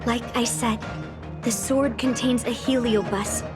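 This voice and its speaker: A woman's voice: on the high side, fairly even intonation, measured pace, close to an everyday voice. An actress voicing a bold, confident young swordswoman in a game cutscene, blunt and a little teasing. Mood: determined. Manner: blunt, self-assured, teasing. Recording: game audio with clear music behind.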